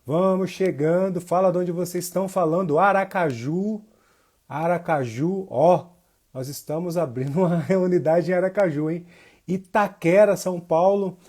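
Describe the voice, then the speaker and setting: A man's voice: high voice, moderate pace, darkish tone. A man with a northeastern Brazilian accent teaching casually as a livestream instructor, informal and slangy, often repeating phrases for emphasis. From Jacobina, Bahia, Brazil. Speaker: capoeira teacher. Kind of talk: casual livestream lesson, greeting viewers.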